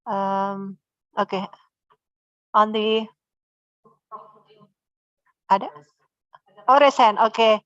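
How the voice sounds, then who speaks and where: A woman's voice: medium pitch, steady tone, very slow, pauses often, a bit bright, no room sound, lo-fi sound. A woman with an Indonesian accent moderating an online seminar, hesitant with many fillers.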